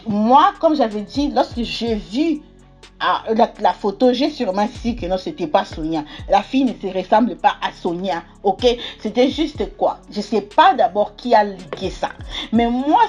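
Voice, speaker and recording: A young adult woman from Congo giving opinionated gossip commentary, often stalling on fillers. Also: mid-range voice. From Democratic Republic of the Congo. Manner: opinionated, repeats filler words like "quoi" and "tout et tout". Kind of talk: casual gossip commentary to camera.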